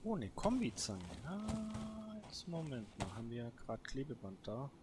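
A man's voice: medium pitch, very animated, measured pace. A man giving casual solo let's play commentary, muttering short remarks to himself. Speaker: gaming video host. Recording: clearly noisy background with clicks.

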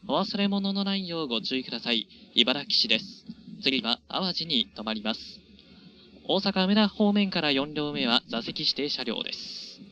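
Piercingly bright male train conductor. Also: fairly high pitch, lively intonation, moderate pace, soft background music. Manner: formal, polite. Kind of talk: in-train public announcement. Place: train public address system. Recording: dull train PA with faint noise.

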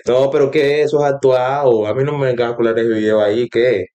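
A man's voice: medium pitch, fairly even intonation, a little fast, lo-fi sound, noisy background. A young man with a Colombian accent, reacting casually in slangy streamer commentary. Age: young adult. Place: bedroom.

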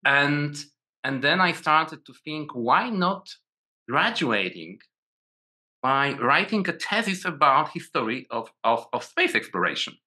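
Polish man, 40s, Polish accent, very bright tone, halting with many restarts, video-call guest. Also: fairly high pitch, very animated. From Poland. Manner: hesitant, many restarts and repeated words. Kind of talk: casual video call interview.

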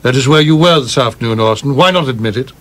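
A man's voice: mid-range voice, quick pace, fairly bright tone, natural voice. A man playing a stern, skeptical film producer in a radio play, with a prim, questioning manner. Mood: challenging. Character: stern, skeptical film producer keeping his colleagues in line.

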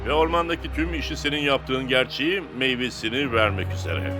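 A man's voice: medium pitch, expressive, brisk, natural voice. A man playing a stern adult football coach in a cartoon dub, firm and admonishing. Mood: confiding. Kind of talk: animated cartoon dub acting. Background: music.

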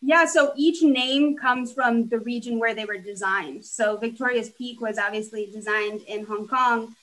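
A woman's voice: fairly high pitch, low-quality recording. A young woman designer on a video call from home, speaking in an easygoing, upbeat way. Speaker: furniture designer. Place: home room with plants.

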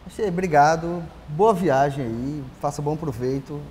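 A man's voice: fairly high pitch, a little slow, darkish tone. A Brazilian man in his forties hosting a TV interview, asking friendly questions and closing the show, miked in a large empty auditorium. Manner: brief affirming murmurs, friendly questions. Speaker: TV interview host. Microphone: lapel mic. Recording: long hall reverb, faint hiss.

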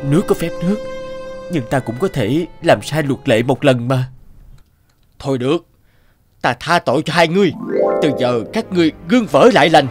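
A solemn king from an animated folk tale, voiced by a man. He is commanding, stern yet merciful, speaking with animated intonation over light music. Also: a little high, normal speed, pauses often, lightly acted. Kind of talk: cartoon voice acting.

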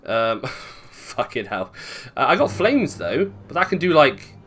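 Animated British man, bright-voiced gamer. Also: a little high, measured pace. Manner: sweary, self-questioning, thinking aloud. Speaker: gaming video host. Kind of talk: casual gameplay commentary.

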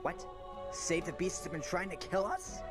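Man doing a whiny, animated little dragonfly sidekick. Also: sits high, normal speed, over loud music, pitched above the performer's own voice. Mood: indignant. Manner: whiny, boastful ranting. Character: small brash indignant male dragonfly sidekick. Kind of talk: amateur fandub of a video game.

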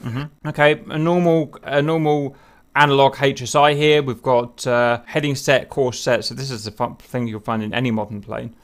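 A man's voice: medium pitch, fairly even intonation, moderate pace. Man musing aloud on voice chat. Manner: thinks aloud, many fillers and wondering questions. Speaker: host leading a group discussion. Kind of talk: casual group chat over a cockpit picture.

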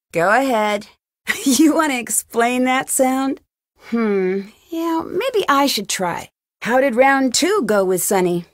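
High-voiced young female sleuth. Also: no room sound, studio quality. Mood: wry, curious. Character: young female amateur detective, upbeat, caring and wry. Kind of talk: scripted video game voice acting.

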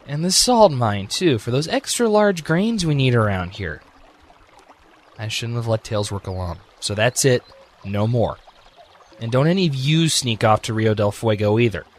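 A man's voice: mid-range voice, lively intonation, moderate pace, close to an everyday voice. A man voicing a bossy, exasperated young echidna guardian in an amateur radio drama. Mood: stern.

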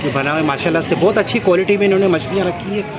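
A man's voice: sits high, very fast, crowd noise. A man, a vlogger, walking through a market and explaining the goods on display to his viewers. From Pakistan. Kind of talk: walking market tour narration. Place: outdoor street market. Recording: loud outdoor street noise. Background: loud street and traffic noise.

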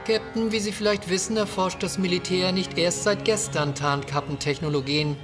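A man's voice: high-pitched, steady tone, moderate pace, music in the background, natural voice. A man playing a cautious, lecturing ship's scientist in a sci-fi audio drama, speaking precisely and formally. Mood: grave, urgent. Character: cautious, pedantic male ship's scientist, urging retreat.

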